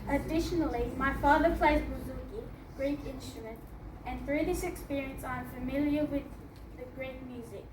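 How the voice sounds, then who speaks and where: Teenage girl, high voice, earnest reading, far-off echoey hall. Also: normal speed, background noise. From Australia. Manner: earnest, with small laughs. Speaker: student reading her story. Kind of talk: reading a personal essay aloud. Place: hall at a book launch.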